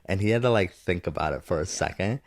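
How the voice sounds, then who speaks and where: Man, 30s, very low voice; easygoing co-host, dry close recording. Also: quick pace, no room sound. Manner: easygoing, agreeable, many short "yeah"s. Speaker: podcast co-host. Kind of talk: casual chat.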